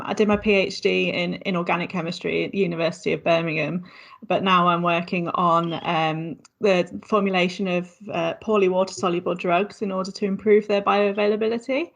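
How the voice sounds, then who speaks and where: A woman chemistry researcher introducing her work in a brisk, matter-of-fact way on a podcast panel. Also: lowish voice, steady tone, creaky.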